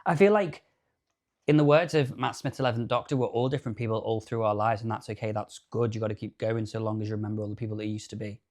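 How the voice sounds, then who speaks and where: A man's voice: on the low side, fast talker. Chatty man in his thirties. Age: 30s. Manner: animated, chatty, camp asides. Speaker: podcast co-host. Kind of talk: casual chat.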